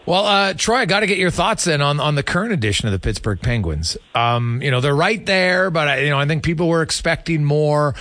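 Male sports interviewer, slightly high voice, casual delivery, very dry close-miked studio sound. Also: animated, a little fast, hardly any pauses, a bit bright, no echo. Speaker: sports radio host.

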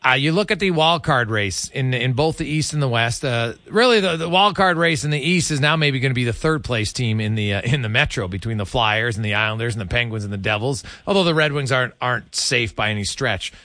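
A man's voice: mid-range voice, a little fast, few pauses, sharp tone, no room sound. A man hosting a sports radio interview in casual, informal chat, meandering through his questions.

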